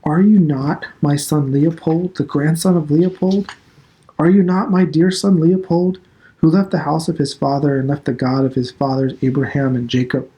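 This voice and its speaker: A man's voice: a little high, steady tone, moderate pace, warm tone, close to an everyday voice, rough recording. Man voicing a severe, reproachful old immigrant father. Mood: mournful reproach. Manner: broken immigrant English, reproachful questions.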